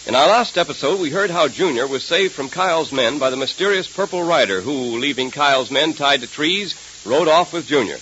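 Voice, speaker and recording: A man's voice: fairly high pitch, lively intonation, brisk, hardly any pauses, a bit bright. An American man announcing and narrating an old 1930s radio serial in an emphatic, theatrical scripted style. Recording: hissy, muffled 1930s radio transcription.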